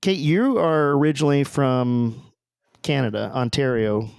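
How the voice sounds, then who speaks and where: An American man speaks as a podcast host in a conversational presenting style. His voice sits at a medium pitch. The sound is dry, with very little room echo. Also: measured pace, vocal fry, a little mellow, clear, resonant tone. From southern United States. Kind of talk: podcast introduction and casual interviewing.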